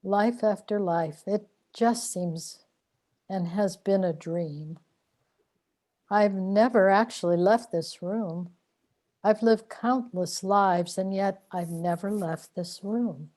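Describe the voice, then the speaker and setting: A woman's voice: mid-range voice, takes it slowly, darkish tone, close to an everyday voice. A woman playing a weary, plaintive immortal seeker in a sci-fi radio drama. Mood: world-weary. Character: weary immortal seeker trapped in repeating lives.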